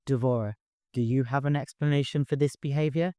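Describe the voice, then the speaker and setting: A man's voice: medium pitch, steady tone, brisk, mellow, no room sound, clean studio sound, close to an everyday voice. A man voicing a cruel, volatile, domineering brother in an audiobook, commanding and threatening. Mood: cold menace. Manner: swings from smooth, stern menace to screaming rage.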